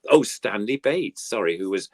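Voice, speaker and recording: Older English male, low register, dry sound; chatty, rambling storyteller in a relaxed interview. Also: normal speed, no echo. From United Kingdom. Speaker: puppeteer, interview guest. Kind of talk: casual reminiscing chat.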